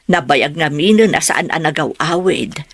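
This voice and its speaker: An actress playing a worried older mother with a creaky voice in an Ilocano radio drama. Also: low-pitched, moderate pace, fairly bright tone, no echo. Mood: fretful. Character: worried older mother longing for her daughter.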